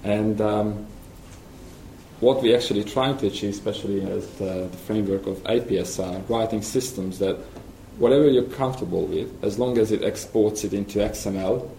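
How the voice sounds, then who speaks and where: A man with a Hungarian accent presents at a talk, pausing often with ums and restarting his sentences. His voice is lowish and mellow. The sound is roomy, with a faint hiss behind it. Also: gravelly. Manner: hesitant, many ums and restarts. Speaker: software engineer presenting. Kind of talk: conference presentation.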